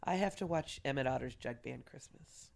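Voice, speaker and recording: A woman's voice: very deep voice, normal speed, gravelly. A woman in her forties chatting and teasing enthusiastically as a podcast co-host among friends. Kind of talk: casual comic group chat.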